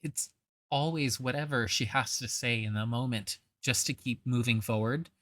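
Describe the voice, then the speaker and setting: A woman's voice: rumbling low, steady tone, normal speed, a bit bright, no room sound. Sarcastic woman reacting to a video. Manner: sarcastic, scornful. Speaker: reaction commentator.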